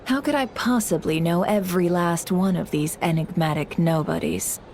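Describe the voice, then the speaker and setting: A woman playing a sly, smooth-talking businesswoman in a video game, coy, polite and measured. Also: lowish voice, a little mellow, a little hiss or hum, natural voice. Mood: coy, teasing.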